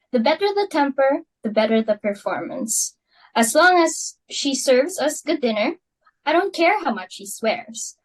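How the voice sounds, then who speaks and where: A young woman reading the part of an excitable, fashionable society matron hosting a dinner party, fussy and emphatic. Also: sits high, rough recording. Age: young adult. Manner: fussy, emphatic, quick to exclaim. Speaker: reader for Mrs. Pringle. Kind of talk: student dramatic reading.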